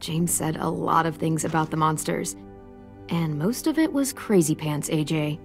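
Tired teen girl survivor in a game, kinda low voice, gentle and motherly, music under it. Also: moderate pace, vocal fry. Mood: firm, patient. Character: protective, weary teenage girl survivor raising a young boy. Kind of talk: naturalistic video game acting.

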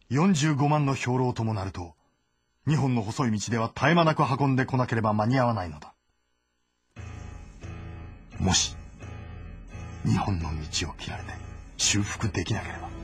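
A man giving scripted, measured and grave narration for a historical story game. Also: medium pitch, expressive, soft background music.

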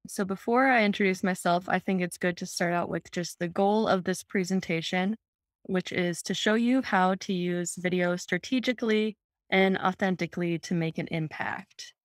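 A woman's voice: fairly low pitch, normal speed, no echo, studio quality. A young woman from Minnesota giving a friendly, explanatory webinar presentation over a video call.

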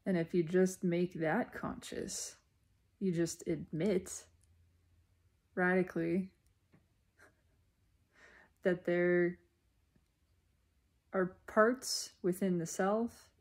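A woman giving a reflective spiritual monologue in a deliberate manner, pausing mid-sentence and speaking in short phrases. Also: lowish voice, steady tone. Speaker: spiritual self-reflection vlogger.

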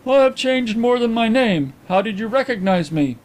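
An excitable, proud gentleman, played by a male narrator, pitched high and swinging widely. He is touchy and emphatic, with a stiff formality, like a character in a storybook reading. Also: normal speed. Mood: astonished. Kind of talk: audiobook character acting.

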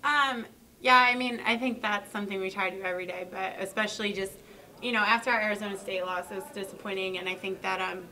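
Young woman college athlete at a table mic, bright voice, easygoing hedging answers, a little distant. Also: medium pitch, fast. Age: young adult. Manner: relaxed, hedging with "you know" and "I mean". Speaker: college basketball player. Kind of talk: post-game press answers. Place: press conference room.

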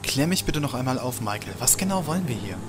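A man playing a chummy, curious male sidekick in an audio drama, with a casual, expressive delivery. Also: mid-range voice, fast, very noisy, close to an everyday voice.

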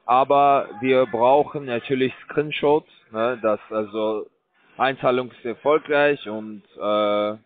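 A man working a scam call center pitch, pushing in broken full of fillers. His voice is mid-pitched and comes through a narrow, tinny phone line. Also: fairly even intonation, slow, no echo. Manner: broken German, filler-heavy, pushy salesman.

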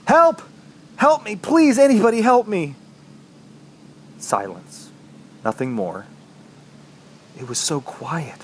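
An actor playing an injured, thirsty trapped man, narrating his ordeal in a brooding, halting, dramatic way for a horror radio play. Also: on the low side, animated, slight background noise.

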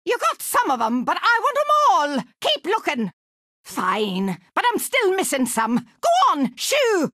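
A woman's voice: high voice, very animated, unhurried, sharp tone, dry recording, clean studio sound, heavily acted. A woman voicing a crotchety, scheming old witch for a video game, with a Scottish accent and a theatrical, scolding, mock-sweet manner. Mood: demanding, irritated. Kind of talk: animated video game voice acting.

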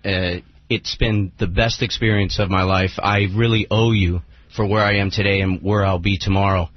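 A man's voice: sits low, steady tone, moderate pace, no echo. A man speaking casually on air as a radio show's call screener. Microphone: studio microphone. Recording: dull lo-fi radio broadcast.

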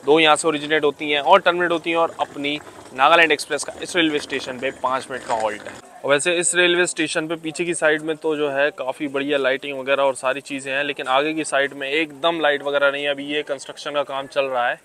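A chatty man with a fairly high voice, vlogging a train trip and talking straight to his viewers as he goes. Faint train rumble sits behind him on a handheld camera mic. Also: fairly even intonation, quick pace, bright, forceful tone. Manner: chatty, addresses viewers as bhai. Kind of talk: casual vlog narration. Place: aboard a moving train and on station platforms.

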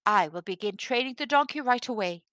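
A woman plays a clever village wise man from a folktale. The delivery is calm, assured and a little sly, in a bright, dry-recorded voice. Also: on the high side, a little fast, dry recording. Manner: soft-spoken, assured. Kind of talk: storybook character voice.

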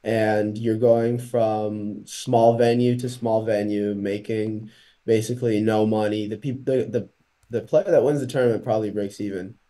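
A young man from Portugal talks in a low, mellow voice over a video call. He sounds relaxed and hesitant, pausing often with "um," and he is picked up by a laptop mic in a small room. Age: young adult. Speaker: college tennis player guest. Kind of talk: casual video-call interview.

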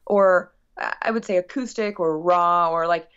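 A woman's voice: lowish voice, moderate pace, fairly bright tone, clean tone, highs cut off. A woman musician being interviewed casually, talking in a long-winded, rambling, earnest way. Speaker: guest musician.

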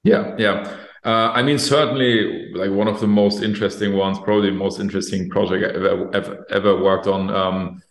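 A man's voice: fairly low pitch, fairly even intonation, a little fast, hardly any pauses. A man from Germany with a German accent, in his late twenties or early thirties, answering interview questions in an easygoing way and often repeating words while he thinks, over a video call. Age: late 20s to early 30s. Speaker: guest, company executive. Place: office room with plain wall. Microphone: video call, webcam. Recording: roomy echo on a video call.

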